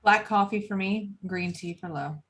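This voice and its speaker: A woman's voice: mid-range voice, normal speed, fairly bright tone, natural voice. Brash, flippant woman played by an actress. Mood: curt. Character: brash, defiant young woman demanding drinks before doom. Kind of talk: screenplay table read.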